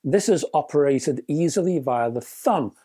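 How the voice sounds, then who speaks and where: British man with a very animated delivery. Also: a little high, moderate pace, husky. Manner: chatty, wry, emphatic with rhetorical questions. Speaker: product reviewer. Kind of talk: scripted review narration with casual asides.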